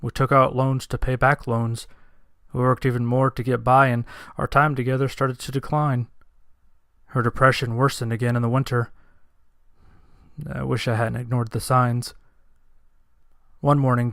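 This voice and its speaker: A man's voice: mid-range voice, level tone, moderate pace, a bit bright, no room sound, studio quality. A man narrating a first-person dramatic story in a somber, earnest manner.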